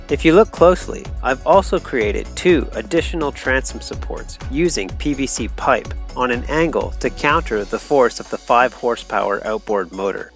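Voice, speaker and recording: A man in his thirties from Canada, explaining sailing gear in a practical, steady casual review, recorded close to the camera in a small bare room. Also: mid-range voice.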